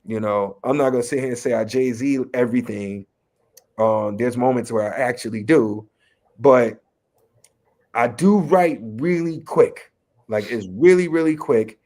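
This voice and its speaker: A man from Chicago's West Side with a local accent and a slight New York tinge, a rapper and educator speaking in a relaxed, streetwise way as an interview guest. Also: mid-range voice, a little slow. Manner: relaxed, streetwise, lots of "you know what I mean". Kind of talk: casual interview talk.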